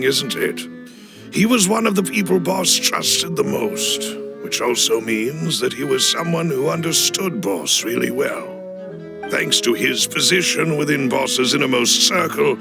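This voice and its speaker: A man playing a loyal, cautious butler in a video game cutscene, speaking in a formal, measured, deferential way with a gravelly voice. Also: mid-range voice. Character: loyal, cautious male butler of a crime boss family. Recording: clean studio voice over music. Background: background music.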